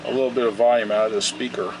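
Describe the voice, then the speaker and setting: A man giving a casual, unhurried repair demonstration, thinking aloud in a folksy way, recorded on a camera microphone in his shop. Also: on the low side, crowd noise. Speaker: radio repair vlogger. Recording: steady noisy camera sound in workshop.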